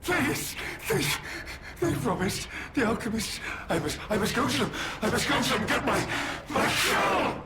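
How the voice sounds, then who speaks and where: A desperate, cowardly video game schemer begs in a high, strained voice. The delivery is halting and melodramatic, swinging widely in pitch over a noisy background. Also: male voice, big pitch swings. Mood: panicked begging. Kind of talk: video game character acting.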